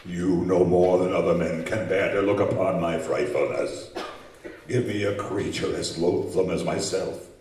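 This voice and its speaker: A lonely giant monster with an extremely deep voice and slow, solemn delivery. He is bitter and menacing, declaiming in old radio horror style. The sound is a very echoey hall, picked up from a distance. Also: fairly even intonation, a little mellow, slight background noise. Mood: lonely, pleading. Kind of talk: old-time radio horror acting before a live audience. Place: community center hall, live stage performance.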